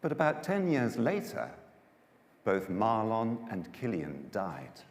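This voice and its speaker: A man's voice: lowish voice, wildly swooping pitch, takes it slowly. Man telling scripture in an echoing hall. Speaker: Bible story reader. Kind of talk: dramatised scripture storytelling to a congregation. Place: large church auditorium. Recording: echoey church hall, long reverb.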